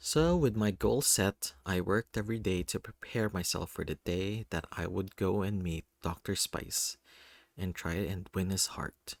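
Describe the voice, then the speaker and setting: Low-voiced man reading a story playfully. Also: measured pace, creaky, dry recording, studio quality. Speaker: reader of a visual novel. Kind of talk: expressive first-person story reading.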